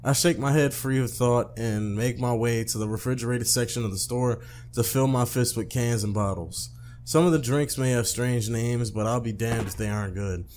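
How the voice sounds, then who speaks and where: A man's voice: mid-range voice, moderate pace, a little mellow, a little hiss or hum. A man hosting a let's play, reading a visual novel aloud conversationally, with casual asides and stumbled, repeated phrases. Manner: conversational, stumbles and rereads lines.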